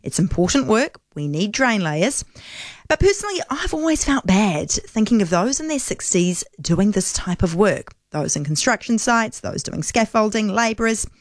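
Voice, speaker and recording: A woman with a New Zealand accent hosts a radio phone-in. Her mid-pitched voice moves up and down animatedly as she chats with callers. The sound is dry and close, with little sense of a room. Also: sing-song, moderate pace, no room sound. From Auckland, New Zealand. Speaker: talkback radio host. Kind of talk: chatty live phone-in talk.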